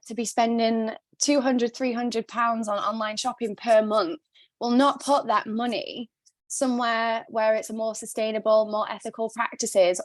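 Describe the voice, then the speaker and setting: A woman's voice: a little high, dry recording. A woman guest on a podcast with a slightly Glaswegian-tinged accent, talking with passion and blunt swearing. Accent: non-native Glaswegian mix. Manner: passionate, blunt, swears freely, laughs often. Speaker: guest, sustainable stylist. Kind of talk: casual podcast interview. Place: not originally from Glasgow, now lives there.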